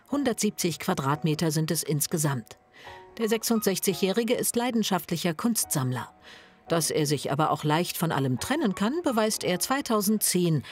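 Woman doing tv report voice-over, lowish voice, faint music under it. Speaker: TV reporter, narrator. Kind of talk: scripted voice-over narration.

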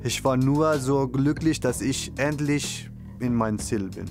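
A man recalling his arrival in a personal testimony, speaking plain, slightly halting German. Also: medium pitch, over music. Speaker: interviewee.

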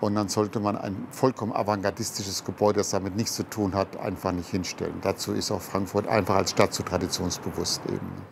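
Gravelly man in his sixties. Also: low-pitched, brisk, hardly any pauses, background noise. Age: 60s. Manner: relaxed, tags sentences with "ne?". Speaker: interviewee, entrepreneur. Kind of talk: casual interview.

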